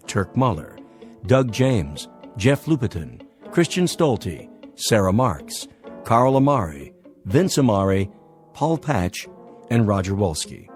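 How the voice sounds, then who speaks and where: Animated low-voiced male announcer. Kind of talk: scripted credits announcement. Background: music.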